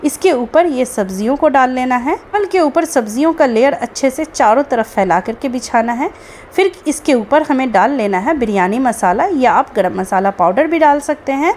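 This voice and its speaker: A woman's voice: high voice, expressive, moderate pace, a little mellow, a little hiss or hum. A woman narrating a recipe step by step, explaining each stage to viewers as a cooking host. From India. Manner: explanatory, addresses viewers as friends.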